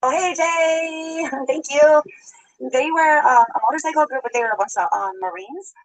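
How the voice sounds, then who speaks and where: High-pitched woman livestreamer, rambling and chatty, altered-speed playback, dry sound. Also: normal speed, no room sound, low-quality recording. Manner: rambling, greets viewers, laughs often. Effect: sped-altered playback. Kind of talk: casual live-stream chat.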